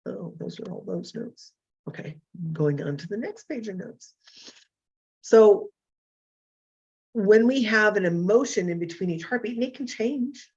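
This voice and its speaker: A hoarse woman in her fifties hosting a casual solo talk show, rambling and self-deprecating with many fillers and restarts. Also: mid-range voice, expressive, unhurried, pauses often, a little mellow, lo-fi sound. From California. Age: 50s. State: hoarse from illness.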